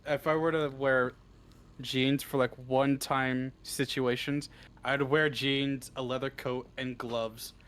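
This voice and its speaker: A man's voice: mid-range voice, flat delivery, slow, fairly bright tone, clear, resonant tone. A man chatting casually as an interview guest, laid-back and given to swearing. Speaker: interview guest, script writer. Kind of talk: casual chat, answering interview questions.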